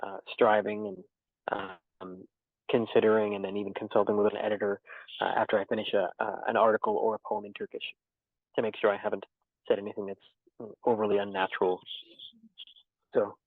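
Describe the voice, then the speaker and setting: A man's voice: on the low side, fairly even intonation, creaky, no room sound. Thoughtful man on a tinny remote line. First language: English. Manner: thoughtful, measured, with many fillers and restarts. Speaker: interviewed poet and theology teacher. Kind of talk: reflective interview answers. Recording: tinny dry phone-like line.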